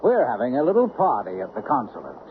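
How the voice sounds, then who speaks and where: A man playing a brisk, taunting official in charge in a radio mystery. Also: medium pitch, huge pitch swings. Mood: triumphant. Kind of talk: old-time radio mystery acting. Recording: muffled vintage radio broadcast, faint hiss.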